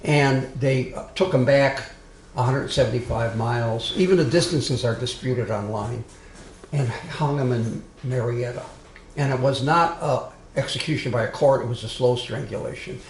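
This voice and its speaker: A man giving an informal history lecture to a small live audience, speaking loudly and wandering into asides and restarts. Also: mid-range voice, normal speed, reverberant, a little hiss or hum, poor audio. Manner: loud, digressive, with many restarts and asides. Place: meeting room with a live audience.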